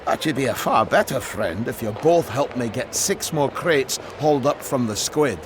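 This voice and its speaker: A man's voice: mid-range voice, animated, normal speed, noisy background, natural voice. Man playing a hearty barkeep, swooping delivery. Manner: hearty, colourful, exclamatory, old-fashioned turns of phrase. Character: burly, jovial, gruff male bar owner, hearty Scot. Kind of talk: full-cast audio drama acting.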